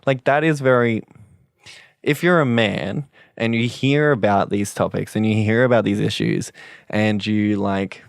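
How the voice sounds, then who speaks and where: A young man with a very deep voice, co-hosting a podcast and talking passionately with lots of fillers. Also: fairly even intonation, unhurried, vocal fry, no room sound, studio quality. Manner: passionate, rambling, many fillers. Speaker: podcast co-host. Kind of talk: casual podcast chat.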